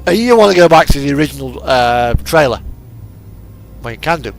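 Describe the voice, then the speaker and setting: A man's voice: fairly high pitch, lively intonation, a little fast, slight background noise, poor audio. A man giving casual, rambling gameplay commentary, hesitant and thinking aloud as he goes. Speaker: host of a truck simulator gaming video. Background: music from an in-game radio.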